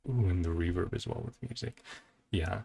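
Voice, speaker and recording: Low, gravelly man thinking aloud. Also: moderate pace, warm tone. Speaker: reaction video host. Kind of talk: casual reaction commentary. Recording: faint music behind the voice. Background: faint music.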